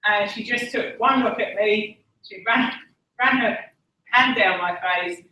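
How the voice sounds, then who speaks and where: An Essex-accented woman tells her own story at a conference, candid and earnest, often pausing on "um" and slipping in wry jokes about herself. Her voice is bright and clear. It reaches the mic from some distance across a large, strongly echoing hall. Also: mid-range voice, a little hiss or hum. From Essex, England. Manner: candid and earnest, with frequent ums and self-deprecating asides. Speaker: conference speaker. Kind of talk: personal testimony speech. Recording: echoey hall from far off.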